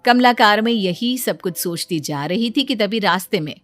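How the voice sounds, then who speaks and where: A woman narrating a scripted bedtime cartoon story in a calm storytelling manner. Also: mid-range voice, moderate pace, no room sound, faint music behind.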